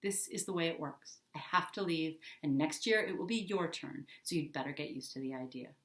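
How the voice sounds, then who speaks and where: Exasperated older boy, gruff and firm, performed by a female storybook reader; lowish pitch, echoey room. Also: a little fast, fairly bright tone. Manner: firm, insistent. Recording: roomy, echoing indoor sound.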